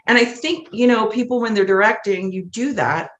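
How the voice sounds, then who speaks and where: A woman's voice: fairly high pitch, moderate pace. A woman chatting casually as an interview guest, emphatic and repeating herself to make a point. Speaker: guest, theatre director. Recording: roomy echo on the voice.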